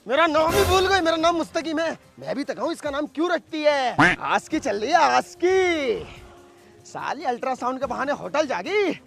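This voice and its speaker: A man's voice: extremely high pitch, very animated, moderate pace, vocal fry, fairly bright tone, soft background music, full character voice. A man playing a suspicious, exasperated, foul-mouthed villager in a comedy sketch. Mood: irritated, suspicious. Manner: exasperated, cursing.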